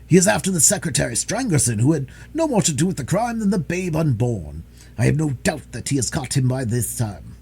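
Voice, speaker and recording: A man voicing a pompous, boastful, excitable police detective in a storybook reading. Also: on the high side, normal speed, slightly rough, voice pushed up, heavily acted. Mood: gloating.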